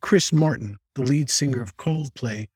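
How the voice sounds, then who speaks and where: A man hosting a podcast, reading a polished introduction and then asking his guest questions in a measured, articulate way. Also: mid-range voice, a little mellow, husky, dry recording, clean studio sound. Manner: measured, articulate, reads from a script. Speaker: podcast host. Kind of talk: scripted intro then interviewing.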